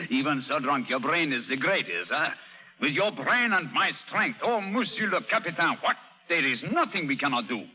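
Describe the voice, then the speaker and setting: An actor playing a boastful French pirate captain in a radio drama, effusive and theatrical, with a thick French accent and broken English. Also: male voice, on the high side, sing-song, moderate pace, pushed and bright, big-room sound, exaggerated voice. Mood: jovial, persuasive. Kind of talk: 1930s radio drama acting. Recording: dull old radio recording.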